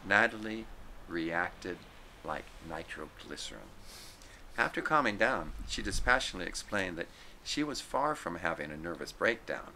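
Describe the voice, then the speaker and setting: A man with a very low voice narrates a literary story. His tone is bright and forceful, and his phrasing is deliberate and theatrical. Some noise sits behind him in the recording. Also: poor audio. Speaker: story reader. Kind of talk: scripted narration, literary story read aloud.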